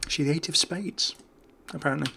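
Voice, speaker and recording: Man, casual gameplay commentary, bright forceful tone, frequent vocal fry, chatty, enthusiastic, unscripted. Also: on the high side, steady tone, moderate pace, a little hiss or hum. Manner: chatty, enthusiastic about small details. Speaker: video game commentator.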